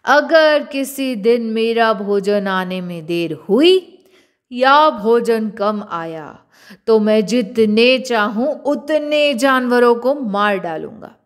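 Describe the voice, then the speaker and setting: A woman voicing an angry, menacing male lion king in a children's story, with drawn-out threatening delivery. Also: a little high, lively intonation.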